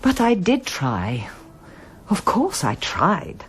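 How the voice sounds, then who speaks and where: An older woman in a radio drama, haunted by a lost past. Her voice is husky and low, with expressive rises and falls, and she speaks reflectively and with hesitation. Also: slow pace, heavily acted. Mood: defensive, sad. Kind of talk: radio drama acting and first-person narration.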